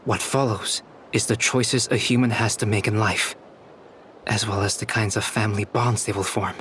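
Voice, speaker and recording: A man playing a calm, soft-spoken young scholar, an artificial human in a video game, speaking formally and reflectively. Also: fairly low pitch, a little hiss or hum, natural voice. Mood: calm, thoughtful. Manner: measured, reflective, formal diction. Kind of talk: scripted video game dialogue. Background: background music.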